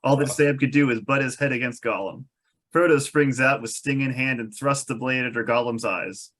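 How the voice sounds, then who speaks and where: A man's voice: medium pitch, moderate pace, a bit bright. A man reading out stage directions as narrator at a fantasy script table read, with a wry manner.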